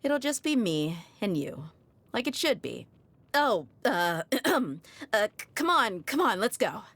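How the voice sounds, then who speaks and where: A woman's voice: fairly high pitch, very animated, a little slow, fairly bright tone, no room sound, exaggerated voice. An actress voicing a tough but easygoing young desert mercenary woman in a video game, with a casual, frank manner. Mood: warm, playful. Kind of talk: video game character voice lines.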